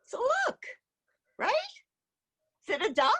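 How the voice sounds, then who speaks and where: Female storytime host, playful and expressive, slightly high voice, unhurried, swooping intonation. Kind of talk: children's storytime chat.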